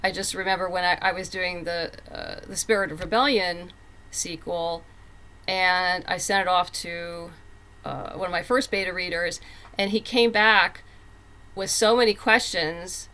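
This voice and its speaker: A woman's voice: fairly low pitch, fairly even intonation, unhurried, pushed and bright. A woman speaking as a writer guest in a casual interview, candid, emphatic and plain-spoken.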